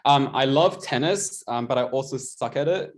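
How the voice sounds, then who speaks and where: A man's voice: medium pitch, normal speed. A young man in his twenties with a New Zealand accent, giving a casual, self-mocking presentation over a video call with lots of ums. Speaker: guest presenter, tutor.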